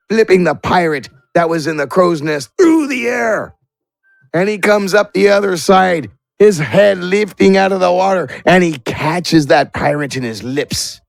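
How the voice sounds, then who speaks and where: A man's voice: fairly high pitch, gravelly, no echo. A man narrating a tabletop game as game master, with theatrical, improvised storytelling.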